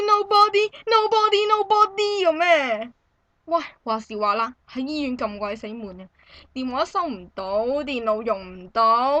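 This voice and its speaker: Woman acting expectant visitor, Hong Kong Cantonese accent, bright forceful voice, animated exclamations, dry studio sound, amateur radio drama. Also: high voice, dry recording. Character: expectant young woman visiting a friend, chatty and indignant.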